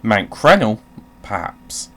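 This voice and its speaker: A man's voice: lowish voice, very animated, slow pace, sharp tone. A man giving casual video game commentary, swearing and grumbling jokily as he plays. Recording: clearly noisy background.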